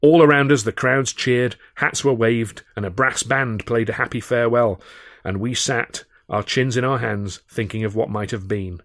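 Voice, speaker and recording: A man reading a first-person audiobook in a measured, expressive and wry storytelling manner, close on the microphone. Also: mid-range voice, no room sound. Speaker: author reading his own novel.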